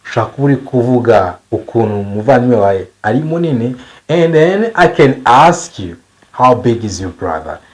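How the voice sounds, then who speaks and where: A man with a Rwandan accent, a native Kinyarwanda speaker, teaching a bilingual English lesson, setting out example phrases deliberately and translating them. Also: medium pitch, animated.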